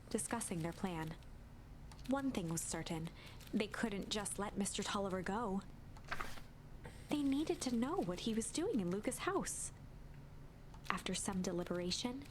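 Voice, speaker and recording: A woman's voice: medium pitch, noisy background. A woman giving a dramatic reading of a story game's narration. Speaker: let's play host, narrator. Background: music.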